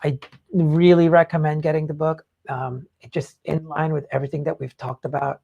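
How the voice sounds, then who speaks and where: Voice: mid-range voice, little pitch movement, normal speed, creaky, husky, phone-quality sound. A podcast host talking casually about a book, informal and sweary, hammering points home by repeating phrases. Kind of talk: casual live book discussion.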